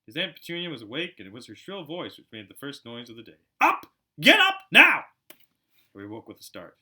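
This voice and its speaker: Bright, animated man reading a storybook. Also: medium pitch, quick pace. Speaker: amateur audiobook reader. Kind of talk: animated storybook narration with chatty asides.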